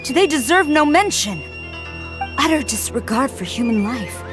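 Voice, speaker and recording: Stern, higher-voiced female samurai general over music. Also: sing-song, moderate pace. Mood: troubled, indignant. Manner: formal, resolute, earnest. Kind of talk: dramatic video game voice acting. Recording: music playing behind.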